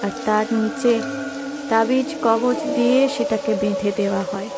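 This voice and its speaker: A woman plays a storyteller in a cartoon folktale, recounting dark events dramatically. Her voice is fairly high, set over clear background music. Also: steady tone, moderate pace, close to an everyday voice. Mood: grave, ominous. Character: female storyteller recounting a dark village tale.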